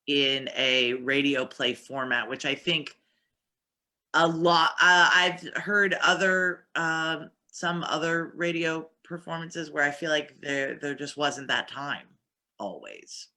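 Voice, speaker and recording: A man's voice: on the high side, slow, sharp tone. A man speaking as a panel guest in reflective, casual discussion, hesitantly searching for words.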